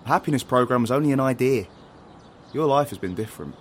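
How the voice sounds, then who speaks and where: A young male freedom fighter, voiced by an actor in an audio drama, with a very lively, mid-pitched delivery. He sounds cocky and determined, giving orders in a confident, matter-of-fact way. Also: quick pace, slight background noise, lightly acted. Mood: firm, urgent.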